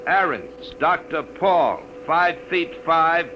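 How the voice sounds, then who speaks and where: A casual, slangy radio operator in a vintage radio play. His voice sits a little high and moves freely, turning official for announcements. The old recording is muffled and clearly noisy. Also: animated, takes it slowly. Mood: official announcing. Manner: casual, colloquial, formal when announcing. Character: breezy, slangy male government radio operator. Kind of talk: old-time radio drama acting.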